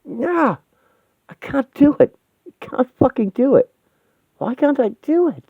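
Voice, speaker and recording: Frantic runaway teenage boy acted by a male narrator, raised pitch, foul-mouthed, agitated, thin phone-like audio. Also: animated, normal speed, vocal fry, no echo. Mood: despairing. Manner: agitated, sweary, pleading outbursts.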